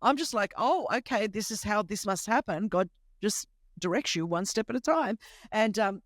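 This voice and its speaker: A woman in her fifties with an Australian accent whose first language is Greek, telling a lively, humorous personal story as a podcast host. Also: medium pitch, normal speed, dry recording, clean studio sound. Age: 50s. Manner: animated, emphatic, humorous storyteller. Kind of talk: conversational testimony storytelling.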